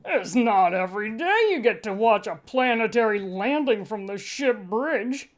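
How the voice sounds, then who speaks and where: A woman acts out a male sci-fi audiobook villain, an officer with cheerful cruelty. He cajoles and taunts with great self-importance, pitched a little above narration. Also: lively intonation, a little slow. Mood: cajoling. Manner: pompous, cajoling.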